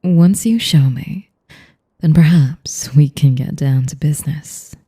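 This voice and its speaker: Woman playing a dark, breathy, sadistic vampire lady. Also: low voice, exaggerated voice. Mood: coolly teasing. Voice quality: breathy, whispery. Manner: slow, teasing, mock-polite, refined. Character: sadistic, elegant, manipulative female vampire noble. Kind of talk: intimate audio roleplay, ASMR-like.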